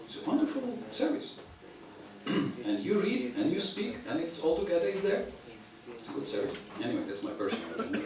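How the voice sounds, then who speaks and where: A man giving a devotional lecture in English, which is not his first language, speaking haltingly with frequent throat clearing. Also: fairly high pitch, big pitch swings, a crowd in the background. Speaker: visiting preacher. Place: temple hall. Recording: echoey temple hall, mic at a distance, audience heard.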